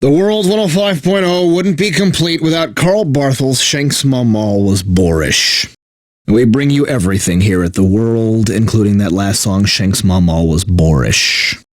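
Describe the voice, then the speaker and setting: A man's voice: on the low side, measured pace, no room sound, studio quality, close to an everyday voice. An actor playing a bitter, sardonic video game radio DJ who resents his corporate bosses, mixing station patter with theatrical despair. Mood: sardonic, fed up.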